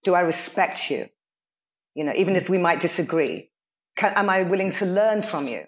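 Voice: mid-range voice, lively intonation, normal speed. Earnest guest musician on a call, mid voice, lots of you know and um. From Nigeria. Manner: earnest, reflective, full of fillers. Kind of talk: casual chat.

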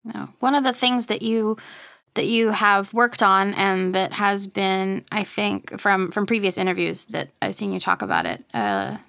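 A woman interviews in a conversational way, with noticeable vocal fry. Her questions wind along tentatively, and the sound is dry, with no room echo. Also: medium pitch, expressive, unhurried, a bit bright. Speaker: interviewer, podcast host.

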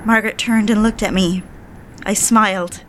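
A woman's voice: medium pitch, fairly even intonation, normal speed, a little hiss or hum, lightly acted, poor audio. An actress playing a genteel, eager young society woman in an audio drama, speaking and narrating with proper, polite diction. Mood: wistful, reflective. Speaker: lead character and narrator. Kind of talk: audio drama acting with first-person narration.

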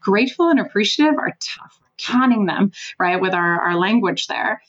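A woman originally from Switzerland, a sales consultant guest giving emphatic, animated advice in an interview, with some casual swearing. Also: mid-range voice, moderate pace, highs cut off.

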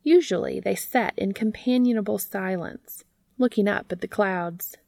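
A woman reading scripted story narration in a gentle, measured manner. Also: medium pitch, vocal fry, no echo, clean studio sound. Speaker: narrator reading fan fiction.

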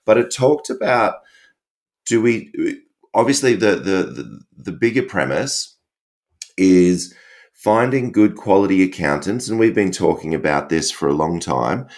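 Congested deep-voiced man co-hosting. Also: unhurried. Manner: relaxed, chatty, with frequent fillers and restarts. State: congested, recovering from illness. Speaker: podcast co-host. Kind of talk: casual podcast chat.

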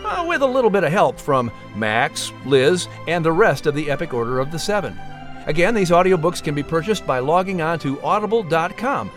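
A man's voice: fairly high pitch, a bit bright. A man announcing and narrating a scripted story podcast.

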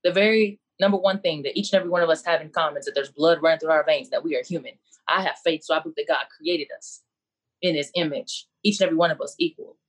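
A woman's voice: low-pitched, quick pace, rough recording. A woman coach speaking as a panelist on a video call, earnest and preacherly, repeating her key phrases. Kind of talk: impassioned faith-based speech on a video call.

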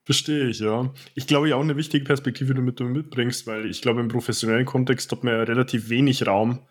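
A man's voice: medium pitch, steady tone, fast. A man hosting a podcast interview, speaking in long, wordy questions with fillers. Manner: wordy, many repeated "auch" and fillers. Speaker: podcast host, personal trainer.

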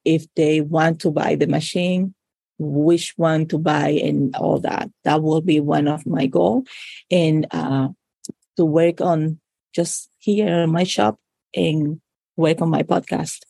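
A woman's voice: low-pitched, fairly even intonation, takes it slowly, gravelly, mellow, slightly rough, no room sound. A Spanish-speaking woman from the Dominican Republic with a Dominican accent, answering as a podcast guest, hesitant with many fillers. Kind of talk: casual interview answers.

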